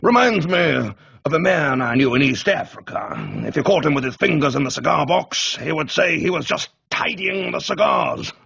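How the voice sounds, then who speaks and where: A man's voice: lively intonation, moderate pace, vocal fry, bright, forceful tone, slightly rough, dry recording, dull audio, heavily acted. A man doing a blustering pompous old major. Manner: blustering, jumps to high exclamations. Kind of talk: audiobook character voice.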